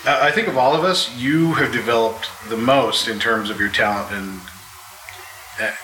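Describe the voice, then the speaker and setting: A man chatting casually on a live panel, with a wry, teasing manner and halting phrasing. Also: medium pitch. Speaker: panelist, audio drama maker. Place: live convention panel by a restaurant window. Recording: echoey, noisy live panel ambience.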